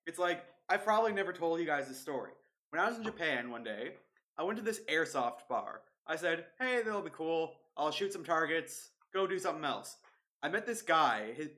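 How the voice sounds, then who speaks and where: A man's voice: on the high side, sharp tone. A young man co-hosting a casual podcast, reminiscing in an easygoing way. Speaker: podcast co-host. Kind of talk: casual chat.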